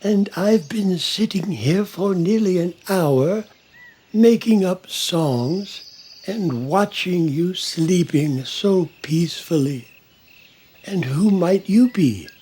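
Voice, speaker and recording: A man voicing Priscilla, a cheerful female blue jay songbird, in a bedtime storybook, with a bright, sweet and friendly manner. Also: high-pitched, animated, takes it slowly, breathy edge, exaggerated voice.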